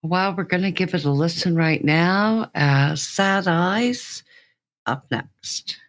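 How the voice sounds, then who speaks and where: A woman's voice: fairly low pitch, slow pace. A woman hosting an interview show in a casual, conversational style.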